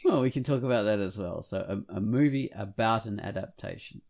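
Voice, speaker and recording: A man co-hosting a podcast, chatting and reviewing in an animated, rambling, jokey manner. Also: lowish voice, fairly quick, creaky, crowd noise. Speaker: podcast co-host. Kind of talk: casual podcast chat and featured comic review.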